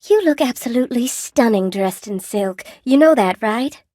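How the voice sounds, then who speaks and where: An actress voicing a gentle, lonely spider-woman shopkeeper in a video game, polite and sweetly attentive, with old-fashioned genteel phrasing. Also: fairly high pitch, lively intonation, moderate pace, no room sound, clean studio sound. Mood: warm, welcoming. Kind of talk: video game character voice acting.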